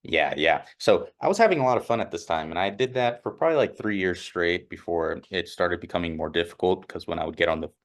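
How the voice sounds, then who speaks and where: A man's voice: low voice, fast talker, few pauses. A young man telling his own story casually, in an offhand, rambling way, with many fillers and restarts and a slightly nerdy-sounding voice. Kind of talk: casual first-person storytelling.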